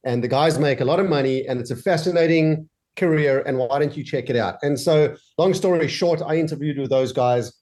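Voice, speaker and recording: A man's voice: on the high side, brisk, hardly any pauses. A man in his forties from South Africa with a mixed South African and Australian accent, chatting as an interview guest from his home office, with lots of fillers and restarts. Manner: relaxed, many fillers and restarts. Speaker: guest entrepreneur. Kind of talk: casual interview chat.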